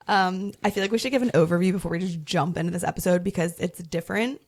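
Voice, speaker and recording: A young woman co-hosts a podcast in a loose, conversational way, often reaching for "like" as she talks. Her voice sits on the low side with a gravelly vocal fry, and her delivery stays easygoing and unhurried. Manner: relaxed and chatty, leans on "like". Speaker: podcast co-host. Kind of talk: casual chat.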